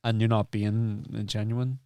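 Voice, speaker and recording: Guy hosting a podcast interview, lowish voice, really dry sound, kinda halting and repeats himself as he thinks. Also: flat delivery, dark tone, no echo. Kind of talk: reflective interview conversation.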